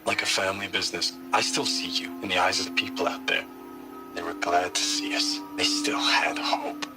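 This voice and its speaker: An actor playing a weary, devoted soldier speaking a tender, halting message to a loved one back home. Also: male voice, deep voice, lightly acted. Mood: sorrowful resolve. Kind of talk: dramatic voice-message monologue. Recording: music behind the voice.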